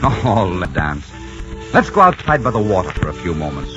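An actor playing a dashing, boastful pirate captain in a 1940s radio drama, spinning tall tales and turning tender and dreamy. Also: male voice, sits low, huge pitch swings, normal speed. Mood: romantic. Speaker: pirate leader. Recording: muffled old radio broadcast with music.

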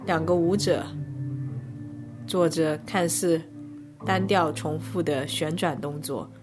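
A woman narrating a scripted first-person arts review in measured, descriptive short phrases. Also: low-pitched, steady tone, music in the background. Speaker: podcast host and reviewer.

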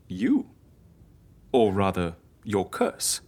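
A woman's voice: very deep voice, huge pitch swings, drawn-out words, darkish tone, slightly rough, heavily acted. A woman playing an eccentric female healer in an audio drama, theatrical, playful and persuasive. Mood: coaxing, solemn.

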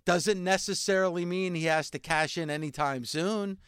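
Casting: high-voiced radio host, smooth and dry, thinks aloud and restarts his sentences. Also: normal speed, fairly bright tone, no room sound. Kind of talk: casual radio talk.

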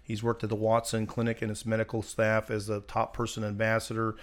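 A man's voice: fairly low pitch, monotone, brisk. A man co-hosting a casual ministry podcast, joking and chatty as he introduces a guest. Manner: joking, chatty, laughs often. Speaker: podcast co-host. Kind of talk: casual chat.

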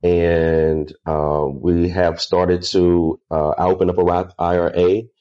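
A man in his thirties, a podcast guest, answering earnestly with pauses and "uh" fillers. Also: deep voice, flat delivery, muffled recording. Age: 30s. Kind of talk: casual interview answers.

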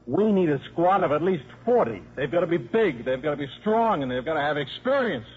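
An actor playing a wry, cynical, fast-talking football coach and father in a 1950s radio drama. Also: on the high side, big pitch swings. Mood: exasperated. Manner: brash, slangy, wisecracking. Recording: muffled 1950s radio broadcast.

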